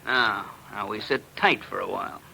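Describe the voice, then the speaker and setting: Bright, brash radio-drama henchman. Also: fairly low pitch, moderate pace, vocal fry, exaggerated voice. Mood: cocky, amused. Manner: slangy, chuckling, simple-minded. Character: dim, chatty small-time racketeer henchman with a gun. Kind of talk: 1940s-50s radio crime drama acting. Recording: noisy vintage radio recording.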